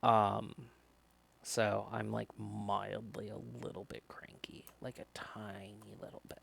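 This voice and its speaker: A man with a gravelly voice livestreaming, chatting and reading a visual novel aloud. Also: fairly low pitch, monotone, slow, fairly bright tone, a little hiss or hum. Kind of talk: livestream chat and read-aloud narration.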